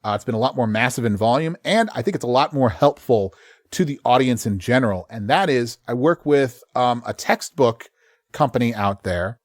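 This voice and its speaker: Animated male voice actor chatting. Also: medium pitch, dry recording, studio quality. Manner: animated storyteller, slips into quick voices. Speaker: voice actor guest. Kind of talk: casual interview chat.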